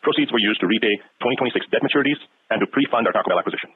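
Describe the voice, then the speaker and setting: A man's voice: on the low side, rapid-fire, a little hiss or hum. Tinny phone-line man reading financial results. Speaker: company executive. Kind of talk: scripted financial statement, read out. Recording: tinny, band-limited phone-line audio.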